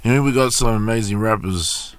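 A man hosts a casual interview on community radio. His voice sits fairly low, and he keeps things relaxed, dropping short encouraging replies as his guests talk. He is speaking from a radio studio. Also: normal speed, clear, resonant tone, dry recording. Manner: laid-back, brief encouraging interjections. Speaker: radio host.

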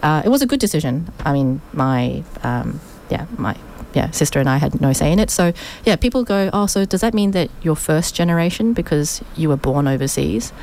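A woman, guesting on community radio, speaking in a notably low voice. She talks in a chatty, reflective way, circling back with plenty of ums and yeahs. Faint noise runs under the studio recording. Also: animated, fairly quick, few pauses, a little mellow, rough recording. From Australia, Sydney. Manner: chatty and thoughtful, with many fillers. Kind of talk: casual radio interview.

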